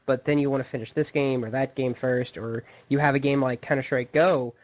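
A young man chatting on a podcast in a plain mid-pitched voice. He sounds easygoing, and his thoughts run on loosely from one to the next. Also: steady tone, brisk. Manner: easygoing, loosely strung sentences. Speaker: podcast co-host. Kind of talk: casual chat.

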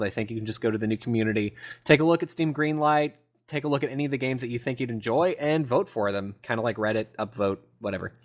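A man hosting a casual gaming podcast, explaining things in a rambling, enthusiastic way. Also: medium pitch. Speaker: podcast host.

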